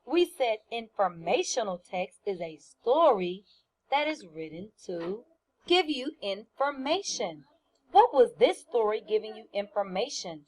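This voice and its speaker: A woman teaching first graders through a read-aloud, speaking deliberately and with emphasis, often questioning the children. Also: mid-range voice, sing-song, darkish tone, rough recording.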